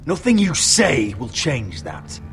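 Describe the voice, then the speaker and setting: A man's voice: medium pitch, wildly swooping pitch, slow, heavily acted. A man playing a stern, wounded young lord in a dramatic fantasy game, commanding and intense. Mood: stern anger. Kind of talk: dramatic video game acting. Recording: loud music under the voice.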